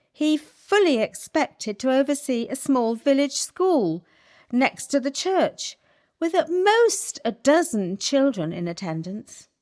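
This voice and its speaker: A woman playing a prim Victorian vicar's daughter who has taken charge of a village school, speaking formally and with disapproval, in a radio play. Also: on the high side. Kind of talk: period radio play acting.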